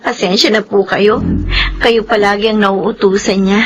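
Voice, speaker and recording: A woman's voice: medium pitch, takes it slowly, fairly bright tone, poor audio. A woman playing a polite, earnest young student in a Filipino radio drama, speaking respectfully. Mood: apologetic. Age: young adult. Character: polite, grateful young student, worried for her brother.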